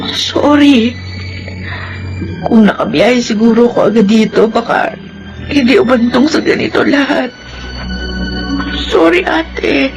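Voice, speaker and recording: An actress playing a gentle, apologetic younger sibling in a Filipino radio soap opera. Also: female voice, fairly high pitch, slow pace, pauses often, low-quality recording. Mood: sorrowful, apologetic. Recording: music under the voice.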